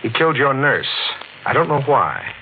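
An actor playing a calm, smooth-talking murderer in a 1940s radio drama. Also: male voice, medium pitch, normal speed. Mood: persuasive. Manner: calm, menacing, silky. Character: smooth-talking man, a cunning fire-mad killer. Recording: tinny old radio broadcast with hiss.

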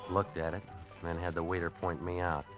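A deep-voiced American man, wary and streetwise, tells of a risky deal in hardboiled style as acted by a man. It is old radio drama, muffled, with music underneath. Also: flat delivery, brisk, close to an everyday voice. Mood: tense, brooding. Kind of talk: 1940s-50s radio drama acting, hardboiled first-person narration. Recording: dull vintage radio recording with music behind.

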